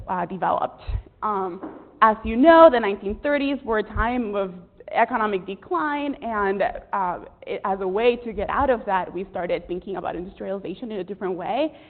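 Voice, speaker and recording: A woman from Rico, giving an earnest academic presentation to a room full of students, with frequent ums. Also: mid-range voice. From Puerto Rico. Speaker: research presenter. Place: lecture room with an audience. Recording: tinny, echoey lecture hall recording.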